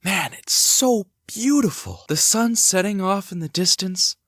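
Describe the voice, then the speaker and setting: A man playing a devoted young husband and father in an audio drama, gentle, curious and theatrical. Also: sits high, animated, a little slow, faint music behind, full character voice. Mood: curious, excited. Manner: reassuring, theatrical, thinks aloud to himself. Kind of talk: hobby audio drama acting.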